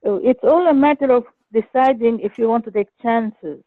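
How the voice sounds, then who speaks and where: A woman's voice: on the high side, moderate pace, no room sound. An older woman from Egypt calling in to a talk show, telling her story in an earnest, hesitant way with many restarts. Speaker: caller. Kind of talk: phone-in sharing a personal story. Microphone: telephone. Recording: dry tinny phone line.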